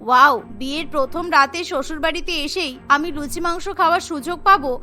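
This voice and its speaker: Casting: woman as cheerful, eager young bride for a cartoon, very high and bright. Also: quick pace. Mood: eager, delighted. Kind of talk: cartoon voice acting.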